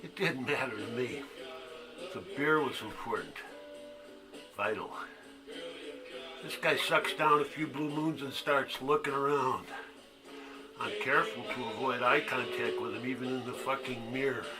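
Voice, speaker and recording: Music plays clearly behind a male actor performing a bitter, foul-mouthed man in a stage monologue. His voice sits at an ordinary male pitch. He tells his tale conversationally, cursing freely, as if recounting it in a bar. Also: measured pace, long pauses, natural voice, poor audio. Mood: bitter, irritated. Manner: profane, conversational storytelling. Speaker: actor in a one-act play. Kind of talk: dramatic monologue.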